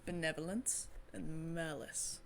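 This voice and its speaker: A woman's voice: sits low, steady tone, takes it slowly, creaky, slight background noise. A woman hosting a game stream, reading story text aloud dramatically with giggly, enthusiastic asides. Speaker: gaming channel host streaming a visual novel.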